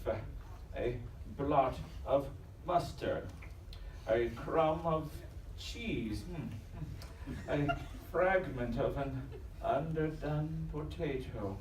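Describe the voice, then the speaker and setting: A man playing a nervous, skeptical ship's doctor in a live audio drama, speaking haltingly in formal, old-fashioned phrases. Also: fairly high pitch, darkish tone. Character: fussy, nervous, skeptical ship's doctor in a Christmas ghost tale. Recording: roomy live stage, distant, noisy.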